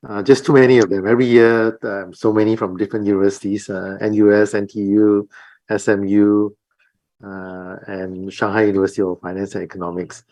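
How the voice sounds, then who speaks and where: A man's voice: lowish voice, flat delivery, brisk, a little mellow, dry recording, poor audio. A man who is a university professor, chatting on an online panel. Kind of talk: casual panel chat.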